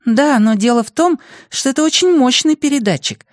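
A man's voice: very high-pitched, lively intonation, moderate pace, dry recording, pitched above the performer's own voice. A clever young girl from an audiobook story, as a male narrator puts her on. Her voice is lifted well up and moves freely, with a thoughtful, tentative edge over some toughness. The sound is dry. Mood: earnest, reasoning.

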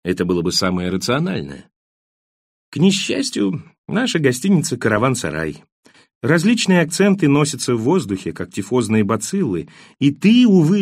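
A man's voice: mid-range voice, a little mellow, dry recording, clean studio sound, pitched above the performer's own voice. A man voicing a worldly, wry émigré who hands out talkative advice in an emphatic, rhetorical way, in an audiobook. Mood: wry advising.

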